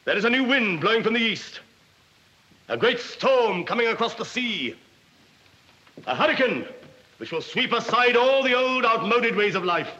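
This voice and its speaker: Fanatical Nazi orator, high and soaring. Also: male voice, pushed and bright, full character voice. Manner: declamatory, fervent, grandiose. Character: fanatical Nazi German officer rousing émigrés with a speech. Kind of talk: theatrical political oratory in a 1940s film. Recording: dull 1940s film soundtrack.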